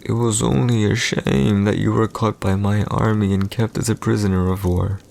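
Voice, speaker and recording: A man performs an arrogant villain emperor in an intimate audio roleplay. His voice sits low with a heavy, gravelly creak. He speaks formally and theatrically to one listener, smug and teasing, with hurt pride underneath. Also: steady tone, normal speed, darkish tone. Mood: menacing, cool. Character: arrogant villainous young male emperor, teasing and secretly wounded.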